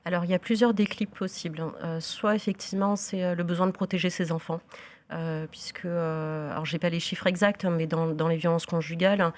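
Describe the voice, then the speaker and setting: A woman who heads a social service, a guest on a TV talk show, giving measured explanations with frequent tags and fillers. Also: fairly low pitch, fairly even intonation, a little mellow.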